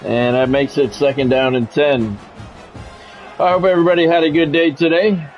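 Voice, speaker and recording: An American man doing sports play-by-play for a video game stream, mixing game calls with relaxed, casual chat to viewers. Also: on the high side, moderate pace, soft background music. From United States. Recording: dull lo-fi audio with faint noise.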